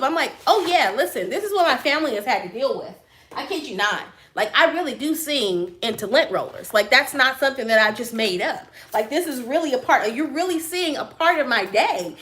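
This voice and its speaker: High, animated woman with a loud laugh. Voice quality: high voice she compares to a cartoon mouse. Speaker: small business owner and channel host. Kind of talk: live stream chat answering viewer questions. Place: her print shop office.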